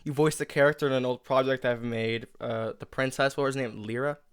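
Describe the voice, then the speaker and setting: Guy hosting a casual chat, smooth clear voice, kinda halting, dry close sound. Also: medium pitch, fairly even intonation, dry recording. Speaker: host and creator of an audio drama.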